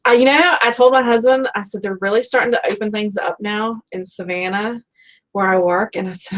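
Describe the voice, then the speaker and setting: A woman's voice: a little high, rough recording. A woman hosts an informal online book show. She talks in a rambling, conversational way, with a self-deprecating streak as she shares bits of everyday life. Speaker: host of a book fan live show. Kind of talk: casual chat.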